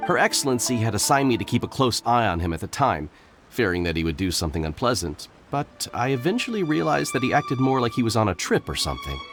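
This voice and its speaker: Dutiful polite watchman npc, formal, animated delivery, clear guitar-like music in back. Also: mid-range voice, fast. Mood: helpful. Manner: formal, helpful. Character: polite, dutiful male watch captain on guard. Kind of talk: video game voice acting. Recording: plucked-string music behind the voice.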